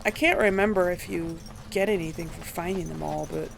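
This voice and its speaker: A woman's voice: on the low side, a little fast, gravelly, a little hiss or hum. A woman doing casual video game commentary, musing aloud and questioning herself as she plays. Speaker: let's play host.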